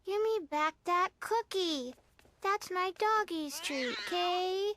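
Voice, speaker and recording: A woman's voice: very high-pitched, slow, fairly bright tone, full character voice. A woman voicing a plain-spoken, childlike little girl in a video game, with a cute way of talking. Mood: determined. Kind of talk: English video game dub acting.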